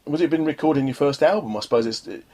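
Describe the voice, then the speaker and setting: A man's voice: a little high, fast talker, dark tone. A man hosting a casual radio interview, chatting in a rambling way with frequent tag questions. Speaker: radio show host.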